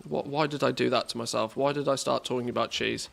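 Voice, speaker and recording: English male streamer, mid-pitched voice, off-the-cuff and jokey, happy to poke fun at himself. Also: fairly even intonation, quick pace, gravelly, a bit bright. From England, born in the northwest, lived mostly in the Midlands. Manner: jokey, self-deprecating. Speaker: game livestreamer. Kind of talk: casual livestream chat.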